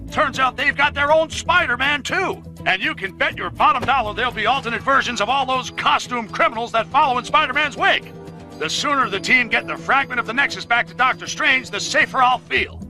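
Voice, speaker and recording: Cast: a theatrical, wisecracking game guide with a very high, bright voice. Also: male voice, lively intonation, brisk, faint music behind, heavily acted, low-quality recording. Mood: wry. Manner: jokey, theatrical. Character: wisecracking comic-book guide, self-mocking. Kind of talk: video game cutscene narration.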